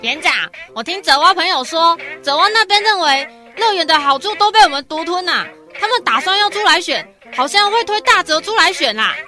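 A squeaky toad henchman for a radio play, pitched extremely high and racing along. The little toad tattles eagerly and croaks. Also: faint music behind, heavily acted. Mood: agitated. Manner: fast, excitable reporting, croaking. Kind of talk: radio drama acting.

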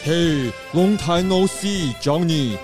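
Guy doing smug teasing buddy in kids radio play, slowish, bit high, music under. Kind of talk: children's audio drama acting.

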